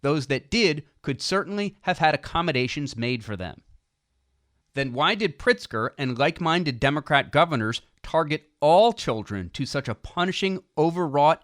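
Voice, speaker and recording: A man reading scripted political nonfiction as an audiobook narrator, with emphatic, deliberate phrasing. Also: a little high, a bit bright, dry recording, clean studio sound.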